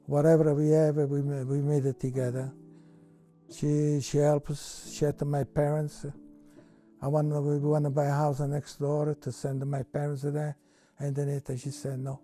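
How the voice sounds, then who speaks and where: An older Italian-accented man tells his story in halting, broken English, full of fillers and restarts. His voice is deep, dark and mellow, and the pitch sits a little high for a man. Soft music plays faintly beneath him. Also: completely flat delivery. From Italy. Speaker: interviewee. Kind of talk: reminiscing in an interview.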